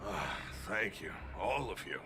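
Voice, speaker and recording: Low-voiced grateful townsman. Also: very animated, measured pace. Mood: relieved, cautious. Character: grateful, cautious townsman pulling back from danger. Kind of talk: video game voice acting. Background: game music.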